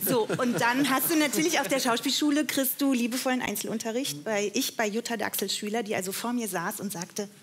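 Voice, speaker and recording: A woman's voice: on the high side, fairly quick, few pauses, slight background noise. A woman in her forties from the Ruhr area, a talk show guest with a Ruhr accent, telling self-mocking stories with laughter. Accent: Ruhr area German. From Witten, Ruhr area, Germany.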